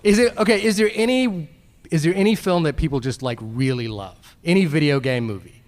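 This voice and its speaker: A man's voice: on the high side, animated, brisk, long reverb tail. A man co-hosting a film podcast as a live panel at a convention, addressing the audience with frequent restarts.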